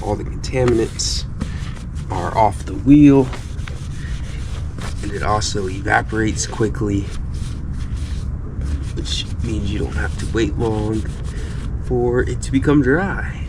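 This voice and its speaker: A man talks his way through a DIY wheel job in a relaxed, chatty, self-deprecating manner. His nose sounds stuffy from a cold. Faint noise sits behind him outdoors. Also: on the low side, takes it slowly, long pauses. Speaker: car DIY vlogger. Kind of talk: casual how-to narration. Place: outdoors while working on wheels.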